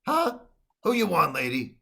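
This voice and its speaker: Man acting gravelly, wisecracking barkeep. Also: high voice, wildly swooping pitch, unhurried, sharp tone, heavily acted. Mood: wry. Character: wisecracking, sardonic saloon bartender. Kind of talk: 1950s old-time radio acting.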